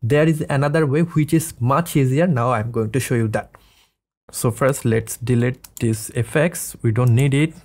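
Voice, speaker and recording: A man in his thirties hosting a casual software tutorial, explaining things step by step. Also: medium pitch, moderate pace. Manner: step-by-step instructing. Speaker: tutorial host. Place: small indoor studio room.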